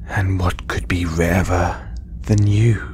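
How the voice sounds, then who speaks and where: A man playing a condescending, possessive alien trophy hunter in an audio roleplay, speaking deliberately in breathy half-whispers with long pauses. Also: low-pitched, fairly even intonation, a little mellow, a little hiss or hum. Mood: smug, menacing. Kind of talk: slow audio roleplay monologue to listener.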